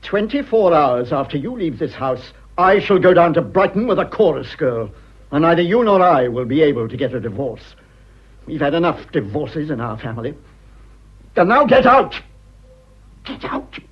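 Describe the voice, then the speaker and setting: Indignant, husky English husband. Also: male voice, a little high, big pitch swings, normal speed, muffled recording. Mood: furious. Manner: clipped, haughty, indignant. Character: indignant, wronged husband, prim upper-class English gentleman. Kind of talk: British radio drama acting.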